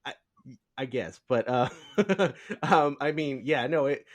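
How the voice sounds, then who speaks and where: A man hosting a casual podcast interview, talking in a halting, rambling way as he feels for words. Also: medium pitch, lively intonation. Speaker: podcast host.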